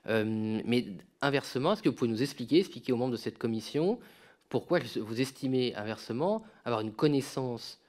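A young man chairing a parliamentary hearing, asking a witness long questions into a desk microphone in a large committee room, pausing on many fillers. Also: mid-range voice, expressive, darkish tone. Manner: searching for words, many fillers. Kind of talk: formal questioning.

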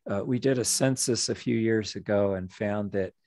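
Low, gravelly man telling his story. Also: darkish tone, dry recording. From United States. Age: middle-aged. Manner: reflective storytelling, measured. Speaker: nonprofit executive director. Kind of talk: presentation over video call. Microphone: video call.

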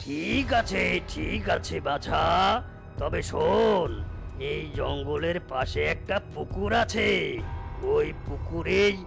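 Very gravelly cartoon sorcerer. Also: male voice, a little high, heavily acted. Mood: commanding. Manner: slow, authoritative. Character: stern village tantric sorcerer, commanding holy man. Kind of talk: cartoon voice acting. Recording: music behind the voice.

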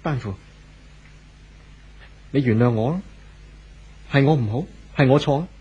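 An actor playing an anxious, apologetic husband in a 1970s radio drama, with a husky voice. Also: male voice, mid-range voice, a little slow, mellow. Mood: pleading. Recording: dull old radio recording.

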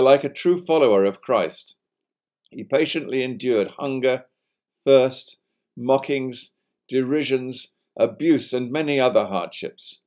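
A man giving a solemn, formal reading of an old historical account in a measured, declamatory way. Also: on the low side, a little mellow. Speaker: college provost giving a reading.

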